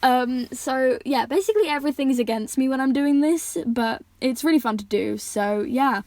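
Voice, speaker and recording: A young woman with a fairly high voice works as an amateur reader and host. She is informal and prone to small stumbles and self-corrections. The sound is dry, with very little room on it. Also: normal speed, a little mellow, dry recording. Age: teenager or young adult, still at school. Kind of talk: reading a story aloud with casual asides.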